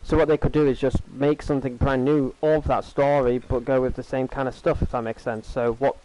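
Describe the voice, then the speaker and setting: A man's voice: medium pitch, flat delivery, a little fast, dark tone, slight background noise, rough recording. A young man casually chatting with his live-stream audience while he plays, earnest and rambling, often repeating himself over a gaming headset at home. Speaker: game streamer.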